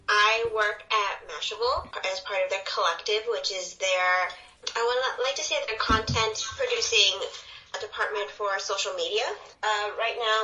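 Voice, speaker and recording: Young woman from Taiwan, bright forceful voice, talkative casual interviewee, slightly lo-fi audio. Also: medium pitch, fairly even intonation, moderate pace, faint music behind. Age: young adult. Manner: talkative, explains in run-on sentences with frequent "like". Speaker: interview guest, social media video producer.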